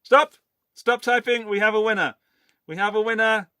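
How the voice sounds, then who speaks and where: Man, middle-aged, rambling live-stream host, bright tense tone, close dry sound. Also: sits high, fairly even intonation, moderate pace, clean tone, dry recording. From England, Forest of Dean in Gloucestershire. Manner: rambling, chatty, loose asides to chat. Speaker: livestream host running a giveaway. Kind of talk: casual live-stream chat.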